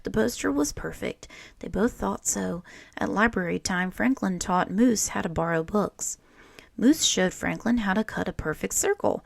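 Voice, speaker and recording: A woman reading a children's picture book aloud in an expressive, deliberate storytelling manner. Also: mid-range voice, vocal fry, slightly rough, no echo. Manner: animated, deliberate storytelling with acted character lines.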